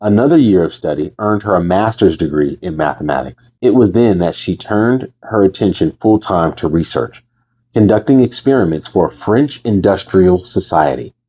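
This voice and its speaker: A man reading a book aloud as host, casually and emphatically, with informal chatty asides and a husky voice. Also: sits low, animated, moderate pace, warm tone, rough recording. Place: bedroom. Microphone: new microphone.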